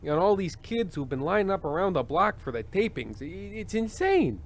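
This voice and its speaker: A man playing a world-weary, fretful television host with halting delivery in an old-time radio drama. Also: high voice, sing-song. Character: anxious, world-weary male TV show host.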